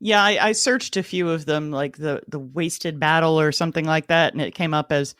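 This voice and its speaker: A woman with a slightly low voice chats as co-host of a book club podcast. She is inquisitive and puts emphasis on her points. The recording is dry and free of room sound. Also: moderate pace, fairly bright tone, no room sound. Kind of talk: casual book club chat.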